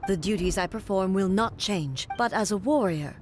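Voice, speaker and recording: A woman playing a stern immortal warrior ruler in a video game, speaking in a formal, measured, resolute way. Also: mid-range voice, darkish tone, a little hiss or hum, lightly acted. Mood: solemn, approving. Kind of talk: scripted video game acting.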